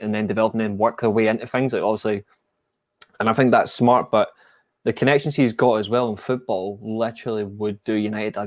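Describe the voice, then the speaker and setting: A Scottish man with a lowish voice talks football as a podcast co-host. He rambles loosely, filling gaps with "like", in a dry, close-miked sound. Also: darkish tone, dry recording. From Scotland. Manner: rambling, heavy use of "like". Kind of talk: casual football podcast chat.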